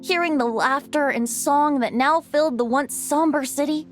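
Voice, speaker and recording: A woman's voice: sits high, normal speed, faint music behind, natural voice. A woman voicing a youthful male bard god who tells an old legend in a lilting, lightly teasing manner. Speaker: storyteller narrating a legend. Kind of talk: game cutscene voice acting.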